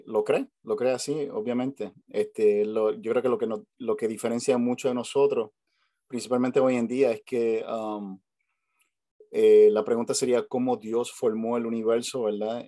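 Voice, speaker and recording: A man's voice: medium pitch, mellow, no room sound, studio quality. A man with a Puerto Rican accent, hosting a casual video call chat, talking hesitantly with many fillers and restarts. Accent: Puerto Rican Spanish. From Puerto Rico. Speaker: host. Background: family noise at home.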